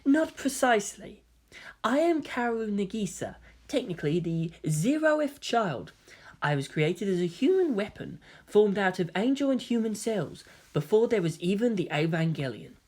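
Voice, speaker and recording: Gentle, secretive teen boy, played by a woman: effeminate, courteous, quiet and earnest, lilting delivery for dramatic fan fiction. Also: medium pitch, very animated, moderate pace, voice pushed up. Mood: solemn. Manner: soft-spoken, polite, earnest.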